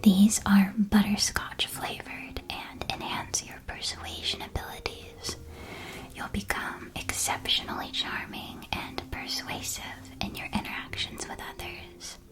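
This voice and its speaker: Woman playing a slow whispering witch-world candy shopkeeper. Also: mid-range voice, flat delivery, natural voice. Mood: gentle, helpful. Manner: gentle, unhurried, helpful sales patter. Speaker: ASMR roleplay performer. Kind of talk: whispered ASMR roleplay.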